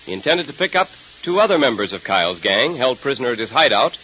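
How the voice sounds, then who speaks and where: A man's voice: mid-range voice, big pitch swings, fast, pushed and bright. An American man announcing and narrating an old 1930s radio serial in an emphatic, theatrical scripted style. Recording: hissy, muffled 1930s radio transcription.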